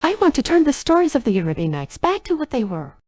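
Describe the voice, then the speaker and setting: A very high, swooping young genie girl, voiced by a woman for a game dub. She is gentle and apologetic, with a breathy, thin tone and stilted synthetic earnestness, recorded very dry. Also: big pitch swings, fast talker, no room sound. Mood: earnest wish. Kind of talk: AI text-to-speech dub of game cutscenes.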